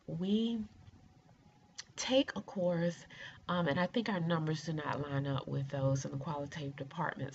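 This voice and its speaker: Middle-aged US woman, deep raspy voice thick with a cold, halting informal self-introduction, muffled recording. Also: a little mellow. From rural Georgia, United States. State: congested with a cold. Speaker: doctoral student introducing herself. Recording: muffled lo-fi video, faint noise.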